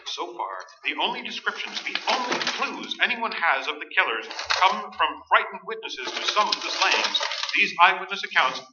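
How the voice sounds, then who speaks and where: A man's voice: high voice, lively intonation, moderate pace, hardly any pauses, very noisy. An actor playing a grave, urgent male radio newsreader who delivers formal, emphatic bulletins in a staged radio play. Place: stage performance in an echoey room. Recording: dull, echoey live stage recording.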